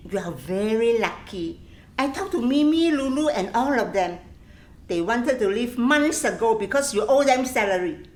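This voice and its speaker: A woman's voice: a little high, expressive, moderate pace, natural voice. An actress with a Singaporean accent playing a sassy, big-hearted nightclub dancing girl in a radio play, teasing and blunt. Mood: indignant. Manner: teasing, blunt, Singlish phrasing.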